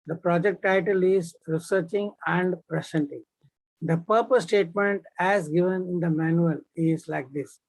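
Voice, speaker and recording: A man's voice: high-pitched, fairly even intonation, no room sound, low-quality recording. A man, formally reading out project objectives as a speech evaluator on a video call. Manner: formal, measured.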